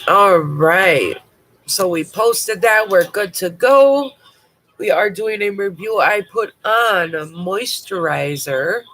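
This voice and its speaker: A woman with a head cold talks slowly and loosely through a live makeup review, her voice sitting in an ordinary middle pitch. She is chatty and blunt, with casual swearing, and a faint hiss sits under her voice. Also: lively intonation, fairly bright tone. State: has a bad cold. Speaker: makeup vlogger. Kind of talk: casual livestream product review.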